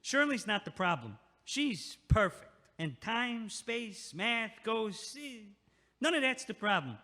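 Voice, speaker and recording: Guy acting a pushy sarcastic nyc neighbor, high swoopy voice, echoey hallway. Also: very animated, slow, bright, forceful tone, full character voice. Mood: mocking. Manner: blunt, sarcastic, street-talking. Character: loud, pushy, meddling New York night-shift neighbor. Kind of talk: audio-play acting. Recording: echoey, long hallway-like reverb.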